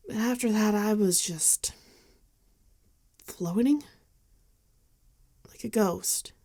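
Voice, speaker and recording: A woman playing a gentle, rueful vampire bartender in an intimate ASMR roleplay, soft-spoken and hesitant, often dropping into a whisper. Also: medium pitch, creaky. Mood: rueful, wistful. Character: gentle, rueful female vampire bartender tending a wounded companion.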